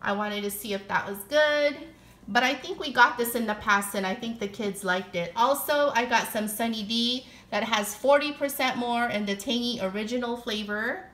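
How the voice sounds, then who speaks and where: A woman's voice: on the high side, normal speed, a bit bright. Chatty woman in an echoey kitchen. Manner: chatty, upbeat, lists items with frequent fillers. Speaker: vlogger. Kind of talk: casual grocery haul chat. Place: home kitchen, indoors.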